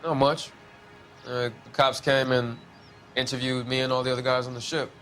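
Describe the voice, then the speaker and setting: A man's voice: mid-range voice, little pitch movement, moderate pace, a bit bright, close to an everyday voice, poor audio. Man playing a wary streetwise 1940s ex-navy type, defiant and guarded, mid voice, game cutscene, music under. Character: defiant, wary Mexican-American ex-serviceman in 1940s Los Angeles. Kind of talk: dramatic video game acting.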